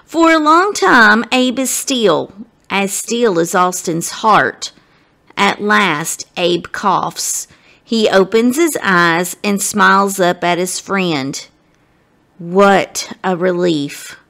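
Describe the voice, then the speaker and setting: Slow-paced woman reading a storybook aloud. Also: mid-range voice, creaky. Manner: expressive, dramatic, addresses the listeners directly. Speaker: guest story reader. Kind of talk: animated storybook read-aloud for children.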